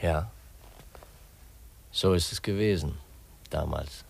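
A man's voice: sits low, slow pace, creaky, slightly rough, heavily acted. An actor playing a polite, wistful orphaned young man of twenty, earnest and dreamy, in a 1950s radio play. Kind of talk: 1950s German radio drama acting.

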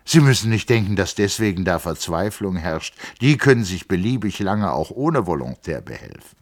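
A man's voice: low-pitched, very animated, normal speed, dry recording, studio quality, pitched above the performer's own voice. A man voicing an earnest, eager young male engineer in a novel reading. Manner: earnest, eager, increasingly heated.

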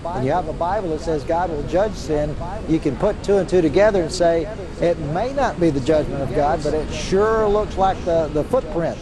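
High-pitched moralising man amid loud crowd. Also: moderate pace, poor audio. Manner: emphatic, moralising, repeats key words. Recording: loud outdoor crowd and street noise.

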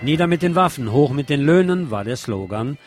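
A man's voice: on the high side, expressive, brisk, over loud music. A man presenting radio news, reading from a script in German news style.

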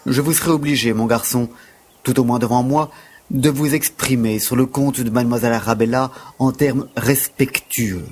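A man's voice: mid-range voice, fairly even intonation, normal speed, darkish tone, slight background noise, lightly acted. A man playing a prim, aloof, penniless gymnastics teacher in an audio drama, speaking with dry, formal precision. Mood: indignant. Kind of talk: French audio drama acting.

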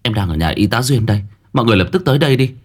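A man voicing an earnest, emotional young woman in a story, halting and repeating words. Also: fairly low pitch, expressive, pitched above the performer's own voice. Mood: tearful, urgent.

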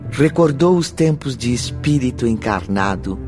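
A man's voice: mid-range voice, lively intonation, normal speed, music in the background, close to an everyday voice. A man narrating a radio drama with scripted, dramatic storytelling delivery.

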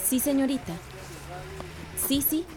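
A woman's voice: high voice, fairly even intonation, takes it slowly, crowd noise. An actress playing a proud, stubborn young woman schoolteacher in a radio soap opera. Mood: polite, firm. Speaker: actor in a radio drama.

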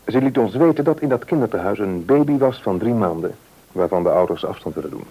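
A man's voice: on the low side, animated, fast talker, husky, lo-fi sound. An actor playing a hopeful, polite married man in a radio play, speaking a little hesitantly with a Netherlands Dutch accent. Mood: earnest, hesitant.

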